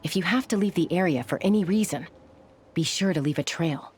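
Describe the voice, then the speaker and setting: Game role: serious, protective young woman, lowish voice, wary and measured delivery. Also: darkish tone, breathy edge. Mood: brisk. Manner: measured, guarded. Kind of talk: video game voice acting.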